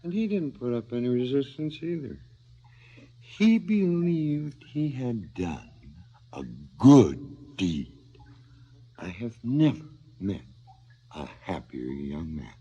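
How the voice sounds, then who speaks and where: An actor playing a cynical, philosophizing hired killer in a radio drama, sardonic and theatrical. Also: male voice, medium pitch, sing-song, slow pace, pauses often, dark tone, breathy edge, full character voice. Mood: brooding, mocking. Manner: sardonic, theatrical, swinging from muttering to outbursts. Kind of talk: 1970s radio drama acting. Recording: slightly dull 1970s radio recording.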